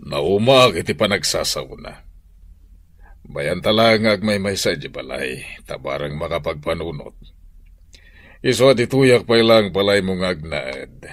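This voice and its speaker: A deep weary old Ilocano father. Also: lively intonation, measured pace, dry recording. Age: older. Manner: tired, brooding. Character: weary older father, heavy-hearted. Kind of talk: Ilocano radio drama acting.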